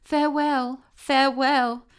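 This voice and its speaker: A woman voicing a tiny, gentle storybook girl with heartfelt exclamations. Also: high-pitched, level tone, slow, voice pushed up. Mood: wistful farewell.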